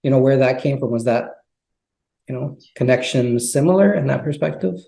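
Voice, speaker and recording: A man's voice: mid-range voice, fairly quick, creaky, mellow. A man hosting an interview, asking curious questions and answering often with short "oh, okay" remarks. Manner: curious, lots of "oh, okay" replies. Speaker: interviewer host. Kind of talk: casual interview. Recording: echoey room.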